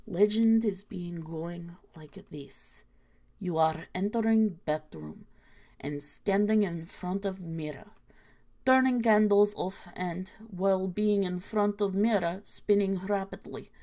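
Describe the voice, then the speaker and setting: A woman voicing a grim, deadpan Soviet storyteller in a put-on mock Russian accent with clipped, broken grammar. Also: medium pitch, takes it slowly, close to an everyday voice. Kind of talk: reading creepy stories aloud.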